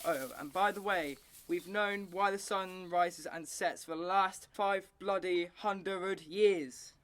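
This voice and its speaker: A man's voice: sits high, steady tone, slow pace, noisy background. Pompous, scornful army officer played by a man. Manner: pompous, condescending. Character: scornful, impatient city military man, a sceptical Major. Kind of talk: radio play acting.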